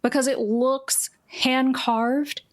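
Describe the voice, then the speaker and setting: A woman's voice: high voice, steady tone, slow. A woman game master narrating a tabletop game in a casual, improvised, playful way.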